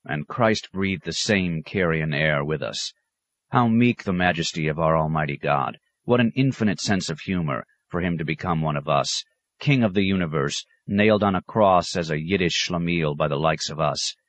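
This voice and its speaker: Man voicing a weary, bitter old abbot, low. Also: normal speed, dry recording. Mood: anguished, raving. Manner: grave, rhetorical, sardonic. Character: weary, bitter, brooding aging male abbot.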